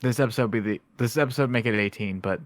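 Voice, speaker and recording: A man's voice: mid-range voice, fast talker, no room sound, clean studio sound. A man co-hosting a podcast, laid-back in casual chat with brief replies. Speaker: podcast co-host.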